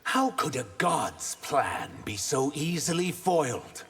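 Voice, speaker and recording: An actor playing an earnest, guarded reptilian shapeshifter warrior in human form, trading emphatic banter in a video game. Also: male voice, a little high, big pitch swings, slow, fairly bright tone, husky, full character voice. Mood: earnest, challenging. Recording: long reverb, faint background noise.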